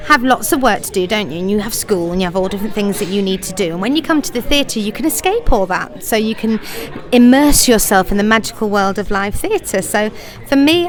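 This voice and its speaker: A woman's voice: medium pitch, a bit bright. Chatty, effusive woman. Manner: chatty and effusive, quick laughs. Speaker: pantomime writer, director and actor. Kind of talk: interview answers.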